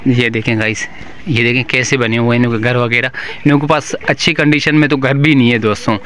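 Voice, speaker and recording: A man's voice: medium pitch, steady tone, brisk, a bit bright. A young man vlogging in a village, talking into a handheld phone and addressing his viewers over and over. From Pakistan. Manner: repetitive, pleading, keeps calling out "guys" and "friends". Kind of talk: walking tour vlog with interviews. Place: outdoors in a village among huts. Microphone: handheld phone camera.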